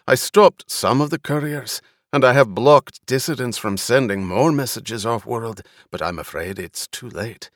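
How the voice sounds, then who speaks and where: A man voicing a gruff, loyal retainer in an audiobook, speaking formally and deferentially with urgency and worry. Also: mid-range voice, measured pace, few pauses, dry recording, studio quality, close to an everyday voice. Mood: worried, urgent.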